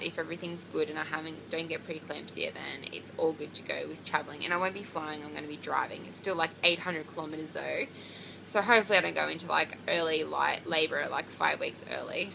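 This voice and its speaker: A young woman talks to camera in a loose, rambling vlog, full of ums and likes. Her voice is low-pitched and bright, with a gravelly fry running through it. A faint hiss of background noise sits under the recording. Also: steady tone, brisk, rough recording. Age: 20s. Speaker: pregnancy vlogger. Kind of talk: casual chat to camera.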